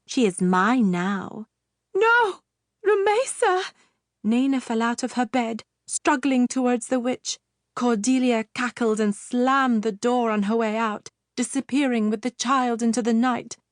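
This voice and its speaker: A woman narrating a children's fairytale audiobook with measured, expressive storytelling. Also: on the high side, no room sound, studio quality.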